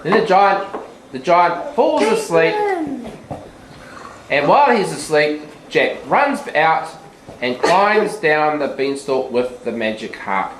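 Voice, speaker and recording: A teacher tells a children's story live in a classroom, in simple sentences with asides to the young audience. The delivery is slow and very animated in pitch. The room is echoey. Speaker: teacher narrating a children's puppet show. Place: school classroom. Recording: echoey room, background noise.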